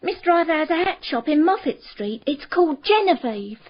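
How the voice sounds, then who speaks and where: An actress playing a respectful maid in a radio drama, giving precise, matter-of-fact answers. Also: female voice, high voice, big pitch swings, normal speed. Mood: helpful, matter-of-fact. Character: deferential female maid answering a detective's questions. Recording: dull, muffled radio drama recording.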